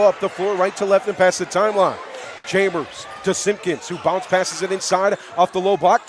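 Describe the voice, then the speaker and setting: A man doing live radio play-by-play of a basketball game, backing the home team and exclaiming on big plays. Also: sits high. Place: high school basketball gym. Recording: loud crowd and bouncing balls in gym. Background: loud crowd noise, basketball bounces.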